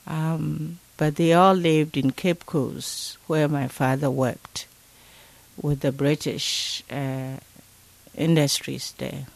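Slow, gravelly older Ghanaian-born woman. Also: deep voice, level tone, rough recording. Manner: slow, thoughtful, many pauses and fillers. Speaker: interview guest. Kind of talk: interview, recalling childhood.

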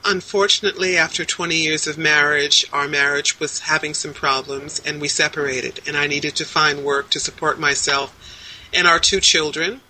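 A woman in her sixties speaks over a video call, her voice very bright and sharp-edged. She answers in a measured, articulate way, stringing details into long lists. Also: low-pitched, steady tone, slight background noise, poor audio. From United States. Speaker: interviewee. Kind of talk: casual interview answers.

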